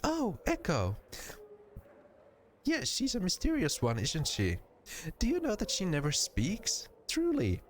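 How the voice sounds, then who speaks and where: A man's voice: high voice, huge pitch swings, takes it slowly, pauses often, slight background noise, voice pushed up, full character voice. A man voicing a tiny, timid, too-nice young woman from a game, with a hesitant, gushing manner. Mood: earnest, chatty. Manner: hesitant, gushing, exaggerated when scolding.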